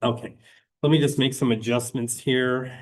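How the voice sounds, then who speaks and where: A man presiding as hearing officer at a public hearing, speaking in a measured, procedural way with frequent hesitations. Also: medium pitch, fairly even intonation.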